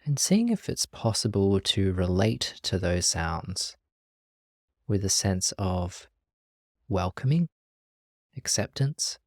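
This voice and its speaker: A meditation teacher leading a guided practice in a gentle, calm, measured way with long pauses. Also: deep voice, no echo, clean studio sound.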